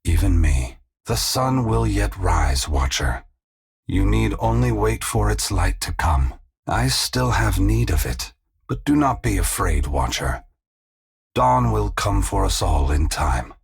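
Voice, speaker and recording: An ancient sun god in a fantasy game, with a very low, heavily creaking voice. He is solemn, compassionate and resolute. Every phrase is formal and measured, delivered at a slow, unhurried pace. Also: very animated, full character voice. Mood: solemn, reassuring. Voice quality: gravelly, husky. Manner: grave, measured, formal, oracular. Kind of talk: fantasy video game voice acting.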